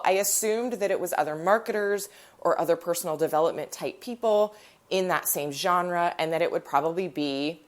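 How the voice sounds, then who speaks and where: A woman hosting a podcast, stuffy and nasal from a cold, chatting in a rambling storytelling way, recorded on her phone in her living room. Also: fairly low pitch. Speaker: podcast host. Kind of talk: casual chat.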